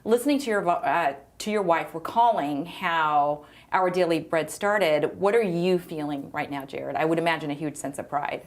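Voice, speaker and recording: A woman's voice: sits low, moderate pace, few pauses. A woman hosting a studio talk show, bubbly and effusive as she introduces and questions her guests. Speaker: host.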